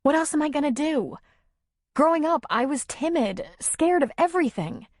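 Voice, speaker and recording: A woman voicing a tearful, timid young single mother who confides haltingly in an audiobook story. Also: high voice, animated, darkish tone, no room sound, studio quality, pitched above the performer's own voice. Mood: emotional confession. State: tearful, sniffling.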